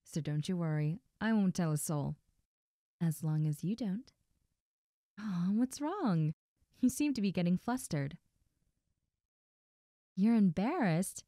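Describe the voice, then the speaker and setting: A woman playing a best friend's friendly, nosy older sister in an intimate roleplay audio drama, talking softly and coyly straight to the listener. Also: lowish voice, animated, slow pace, pauses often, no echo, studio quality. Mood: teasing, reassuring. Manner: soft, gentle, coy, addressing the listener directly.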